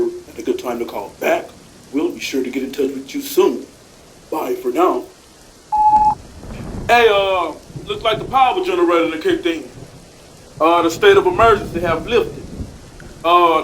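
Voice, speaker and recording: A man's voice: fairly high pitch, a little hiss or hum, close to an everyday voice, poor audio. A man playing a blustery, suspicious restaurant boss in a radio play, with folksy, bossy, colloquial speech. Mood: cheerful, polished.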